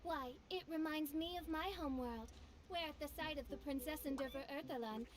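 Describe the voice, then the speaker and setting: A woman's voice: sits high, a little fast, natural voice. A woman playing a theatrical teenage girl who styles herself a princess in a video game, with a grandiose, melodramatic manner. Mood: grand, rapturous. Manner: grandiose, melodramatic, archaic phrasing. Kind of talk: scripted video game voice acting. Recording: loud game music behind the voice.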